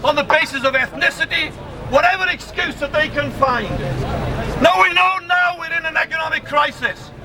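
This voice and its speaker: A man's voice: very high-pitched, sharp tone. An older man with a Welsh accent giving an impassioned, emphatic political speech at a protest rally. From Swansea, Wales. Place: outdoors in a city square. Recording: distant outdoor mic, noisy street crowd.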